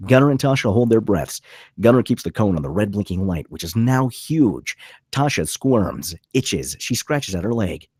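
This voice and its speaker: A man narrates a script in a low, rough-edged voice. His reading is dramatic and animated, moving through stage directions in a dry, close recording. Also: moderate pace, no room sound.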